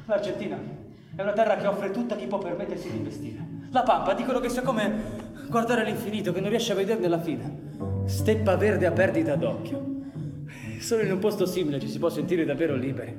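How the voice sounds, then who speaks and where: Actor as high-voiced, impassioned anarchist lover, echoey. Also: male voice, a little fast, recorded from a distance, natural voice. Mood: excited, dreamy. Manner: impassioned, persuasive. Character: ardent, restless male anarchist lover dreaming of escape. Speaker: actor in a radio play. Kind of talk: live radio drama acting. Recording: echoey long reverb with music behind. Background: live music.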